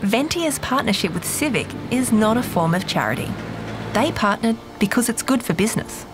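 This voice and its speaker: Brief: woman reporter, clear measured read, music bed underneath. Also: a little high, animated. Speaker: presenter. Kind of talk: scripted reporting.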